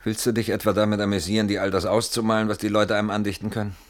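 An actor playing an irritable, defensive husband in a German radio play, indignant and dismissive under suspicion. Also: male voice, lowish voice, little pitch movement, very fast, lightly acted. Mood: offended, defensive.

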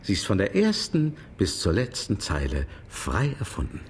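Radio drama: stout fifties publisher, formal, dismissive, widely swinging intonation, faint ambience. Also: male voice, on the low side, wildly swooping pitch, normal speed, a little hiss or hum. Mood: firm denial. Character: stout, dismissive fifty-year-old London publisher. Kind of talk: German radio drama acting.

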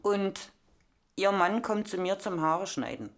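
A woman's voice: medium pitch, lively intonation, unhurried. A middle-aged woman giving a frank personal account in a colloquial, plain-spoken manner.

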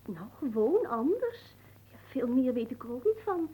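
A woman's voice: high voice, big pitch swings, normal speed, mellow, slightly rough, exaggerated voice, low-quality recording. A woman playing a naive, deferential young maidservant in a comic radio play, innocent and searching for words. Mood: shy, puzzled.

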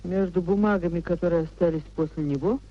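An actress playing a proud, indignant married society lady with measured, formal delivery in a 1950s radio play. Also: lowish voice, close to an everyday voice. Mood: reading coldly. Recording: dull 1950s radio drama recording.

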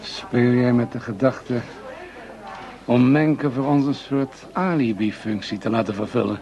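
An actor playing a composed, pragmatic federal police chief in a radio play, with brief, matter-of-fact delivery. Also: male voice, medium pitch, a little slow, warm tone, lightly acted. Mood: shrewd. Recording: dull, lo-fi radio drama recording.

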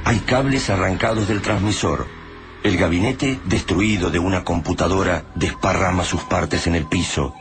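An actor as the solemn, dramatic storyteller of a bleak apocalyptic radio drama, reading literary scripted narration. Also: male voice, low voice, moderate pace, soft background music, natural voice. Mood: ominous.

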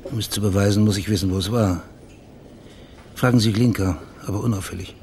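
An actor playing a probing, quietly insistent private investigator in a German radio crime drama, speaking in a measured, matter-of-fact way. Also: male voice, fairly low pitch, steady tone, a little hiss or hum, lightly acted. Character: probing, plain-spoken male private investigator named Max, quietly insistent.

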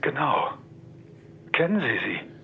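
A man's voice: fairly high pitch, very animated, slow, breathy edge, full character voice. A man playing a frank, businesslike estate adviser in a radio drama, matter-of-fact and formal. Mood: frank, concerned. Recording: muffled, band-limited, faint noise.

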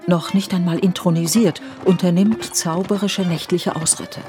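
A woman reading literary narration for a radio play in a measured, deliberate way. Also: on the low side, steady tone. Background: music with violin.

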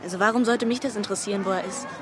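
A woman's voice: mid-range voice, rapid-fire, lightly acted. An actress playing an aloof, coolly defiant teenage schoolgirl in a naturalistic radio drama, talking in an offhand, dismissive way. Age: young adult. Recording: busy outdoor crowd noise behind.

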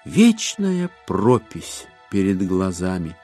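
Animated, mellow male narrator. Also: low voice, soft background music. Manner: expressive, with deliberate dramatic phrasing. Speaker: narrator of an audio drama. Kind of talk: theatrical literary narration.

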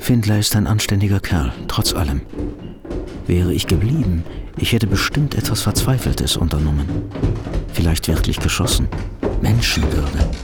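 A man's voice: low-pitched, lively intonation, moderate pace, over loud music. An actor playing an anxious, bitterly ironic fugitive businessman in a radio drama, thinking aloud in interior monologue. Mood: shaken, brooding.

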